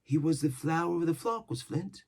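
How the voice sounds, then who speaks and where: A man voicing an eager young sailor lad, pitched well above his own voice. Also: lively intonation, normal speed, gravelly, a little mellow.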